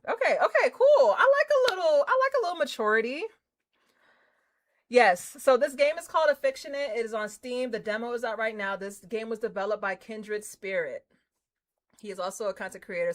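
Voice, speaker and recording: A woman live-streaming a game, reading screen text and chatting to viewers in an excitable, slangy way. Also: mid-range voice. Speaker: streamer, host. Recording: music behind the voice. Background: game music.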